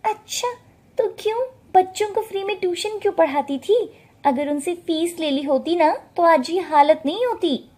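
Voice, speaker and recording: Woman acting a shrill, scornful young daughter-in-law. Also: normal speed, darkish tone. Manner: nagging, taunting, bossy.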